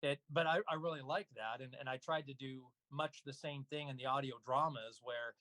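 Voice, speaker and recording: A man's voice: mid-range voice, fairly even intonation, normal speed, bright, forceful tone. Man in a casual interview. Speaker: guest actor, narrator and audio dramatist. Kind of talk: casual interview answers about own work.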